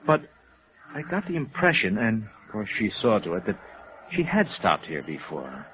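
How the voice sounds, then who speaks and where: An American actor playing an embarrassed, hesitant hotel manager who has been swindled, in a 1950s radio drama. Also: male voice, fairly low pitch, wildly swooping pitch, normal speed. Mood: embarrassed, explaining. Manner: hesitant, rueful. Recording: muffled vintage radio recording.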